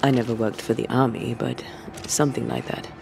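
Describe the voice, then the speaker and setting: Game role: veteran banisher, measured and guarded, creaky mid voice, dry wit, clear background noise. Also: male voice, medium pitch, level tone. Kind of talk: dramatic video game acting.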